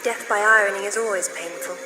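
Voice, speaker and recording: A woman's voice: mid-range voice, steady tone, fairly quick, reverberant, over music, lightly acted, rough recording. A woman playing a poised, dryly witty young British adventurer in a video game. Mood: wry, composed. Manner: dry, playful irony.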